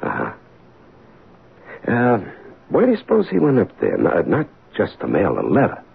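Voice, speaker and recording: A weary American police detective in an old-time radio play. His voice is gravelly and swings widely in pitch as he talks in halting, colloquial, wry phrases. The sound is thin and band-limited, like an old broadcast. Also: huge pitch swings, narrow, tinny audio, heavily acted. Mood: awkward, probing. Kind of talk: old-time radio drama acting, first-person narration and dialogue.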